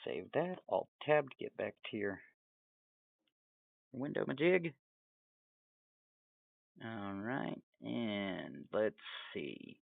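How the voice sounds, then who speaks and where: Tinny-sounding man with wildly swinging pitch. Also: lively intonation, very slow, gravelly, pauses often, no echo. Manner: rambling, self-amused asides in a chatty, jokey way. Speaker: tutorial presenter. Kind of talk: casual, off-the-cuff software tutorial narration.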